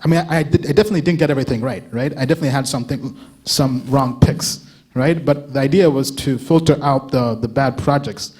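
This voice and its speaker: A young man giving a casual conference talk, often checking in with a quick "right?" and restarting his sentences. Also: mid-range voice, a little mellow, slightly rough. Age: young adult. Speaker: crypto analyst giving a talk.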